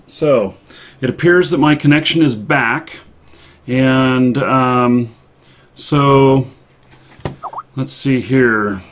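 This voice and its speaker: A man hosting a casual live-stream interview, speaking informally and haltingly as he thinks aloud. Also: mid-range voice, slight background noise. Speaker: live stream show host.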